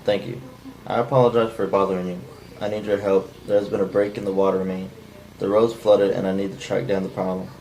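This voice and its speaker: Man acting polite pushy young workman, deep-ish, monotone cold read, table read drama, noisy amateur recording. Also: a little fast. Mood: polite, apologetic. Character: polite but pushy young male utility worker. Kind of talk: flat cold read of a script by a non-actor.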